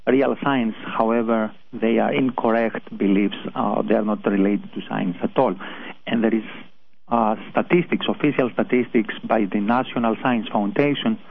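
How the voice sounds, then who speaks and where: A man with a Greek accent, a guest on a radio show, answering hesitantly with many pauses and restarts. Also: on the low side, vocal fry. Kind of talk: phone-in interview. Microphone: telephone. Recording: tinny phone line.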